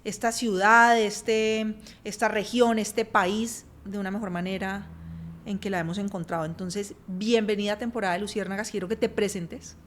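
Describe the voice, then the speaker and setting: A woman's voice: medium pitch, fairly even intonation, normal speed, slight background noise. Woman hosting an interview. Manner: conversational, encouraging, questioning. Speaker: podcast host. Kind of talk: interviewing a guest.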